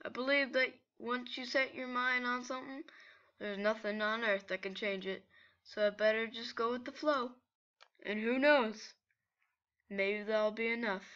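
A woman voicing a laid-back, gently weary skeleton brother in an amateur comic dub, casual and halting. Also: on the high side, steady tone, highs cut off. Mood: gently accepting.

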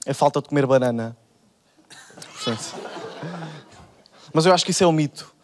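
Young European Portuguese stand-up comic. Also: male voice, fairly high pitch, normal speed, slight background noise. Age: young adult. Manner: acts out quoted characters in shifted voices. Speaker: stand-up comedian. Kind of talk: stand-up routine. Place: TV studio stage. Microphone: handheld mic.

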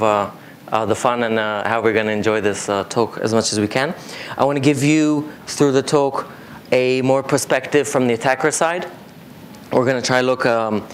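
A man in his thirties from Israel giving an informal technical conference presentation, explaining in a halting way. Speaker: conference speaker, cybersecurity company co-founder. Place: indoor conference breakout room with seated audience. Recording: clear low rumbling room noise.

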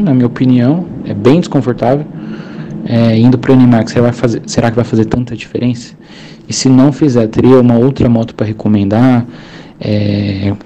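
A man's voice: mid-range voice, warm tone, a little hiss or hum, poor audio. A man in his twenties from São Paulo with a Paulista accent, calling in politely with a hesitant, filler-heavy manner. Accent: Paulista Brazilian Portuguese. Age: 20s. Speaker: caller.

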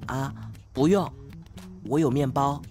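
A man's voice: mid-range voice, slow pace, a little mellow, close to an everyday voice. Radio drama role: mild, bookish young male student, man acting, earnest delivery, light music underneath. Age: young adult.

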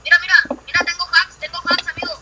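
Brief: young Mexican woman co-player, shrill and excitable, heavy background noise. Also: quick pace. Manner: excited, teasing. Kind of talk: casual gameplay chat. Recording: very noisy mic.

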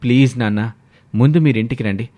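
A man's voice: medium pitch, a little fast, dark tone, lightly acted. A man playing a compliant, hen-pecked young husband and son in a family moral story. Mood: pleading.

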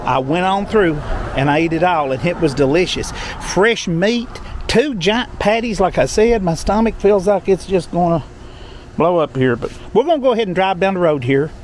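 A man with a drawl chats to viewers as he drives through the mountains. His voice sits a touch high, and his manner is folksy and easygoing. A faint hum of car noise runs underneath. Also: animated, moderate pace. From Appalachian Kentucky. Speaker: travel vlogger. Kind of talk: casual travel vlog talk. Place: in a car and a country store.

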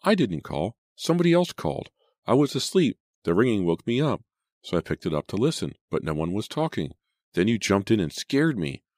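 Man voicing a whiny, high-pitched little boy. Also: sing-song, brisk, darkish tone, no room sound, clean studio sound. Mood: tearful, defensive. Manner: whining, bawling, pleading. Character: frightened, whiny six-year-old boy, tearful and sleepy.

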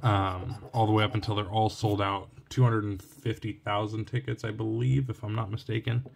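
A man's voice: lowish voice, little pitch movement, normal speed, creaky. A young man from Oregon scratching lottery tickets at home late at night, talking in a tired, cracking voice as he reads each symbol aloud. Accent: Oregon US English. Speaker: scratch-ticket channel host. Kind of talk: casual narration while scratching tickets. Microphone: phone or camera looking down at the table. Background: coin scratching and clicks.

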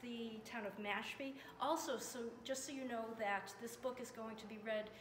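High-ish woman, far off, reverberant room. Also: steady tone, moderate pace. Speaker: presenter giving thanks. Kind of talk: informal spoken announcement. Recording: distant, reverberant, noisy room.

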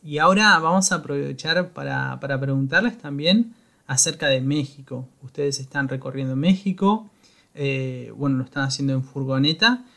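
A man's voice: medium pitch, normal speed, creaky, pushed and bright. A man hosting a podcast, talking in a loose conversational way with frequent restarts. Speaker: podcast host. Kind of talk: casual podcast interview.

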